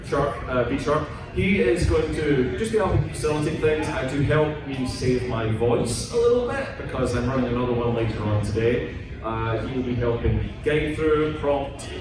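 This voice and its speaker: A man with a Scottish accent, talking casually as an author on a convention panel, rambling and self-deprecating. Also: mid-range voice. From Scotland. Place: convention hall. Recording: echoey hall, distant mic, noisy.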